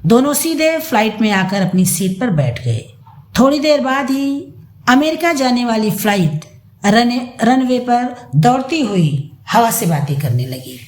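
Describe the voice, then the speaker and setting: A woman tells a scripted story in a fairly high voice. Her intonation swings widely, and she places dramatic emphasis on her phrases. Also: sing-song, measured pace, darkish tone. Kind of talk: scripted audio story narration.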